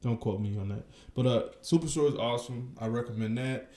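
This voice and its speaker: A man's voice: mid-range voice, brisk, dark tone. Laid-back man podcasting in an echoey room. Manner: joking, laid-back, lots of "uh". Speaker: podcast co-host. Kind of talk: casual podcast chat. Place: indoor room, across from co-host.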